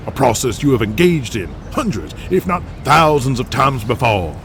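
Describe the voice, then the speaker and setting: A man's voice: medium pitch, fairly bright tone, natural voice. Guy doing an old lawyer in an audio drama, super formal n pompous, voice goes up and down, some noise behind him.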